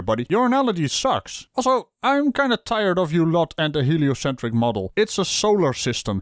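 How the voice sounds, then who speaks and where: Sarcastic man with a very animated voice. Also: high voice, no echo, studio quality. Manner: sarcastic, teasing, theatrical. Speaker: reaction video host. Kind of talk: mocking running commentary on a played clip.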